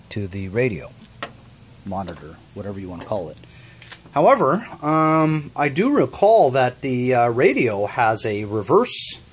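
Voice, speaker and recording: A man hosting a repair vlog, talking casually through hands-on work in a halting, thinking-aloud way with frequent "you know" tags. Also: medium pitch, slight background noise. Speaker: host of a DIY repair vlog. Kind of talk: casual hands-on tutorial narration.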